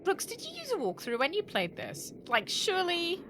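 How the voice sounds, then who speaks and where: A woman's voice: very high voice, wildly swooping pitch, moderate pace, noisy background. A British woman chatting casually and thinking aloud to viewers as she streams a video game. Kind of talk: casual chat while playing a game.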